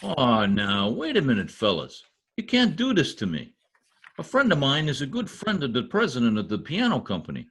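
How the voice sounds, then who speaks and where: A man's voice: medium pitch, huge pitch swings, moderate pace, a bit bright. A man playing a blustering, stubborn small-town husband in folksy, slangy old-time radio comedy style. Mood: pleading, indignant.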